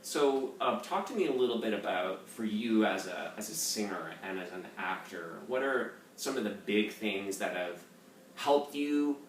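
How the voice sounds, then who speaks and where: A man, a composer, hosting a relaxed interview, chatty and loose with plenty of fillers. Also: on the low side, a little slow. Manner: chatty, loose, lots of "you know" fillers. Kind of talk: casual interview chat. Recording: roomy echo, distant mic, faint noise.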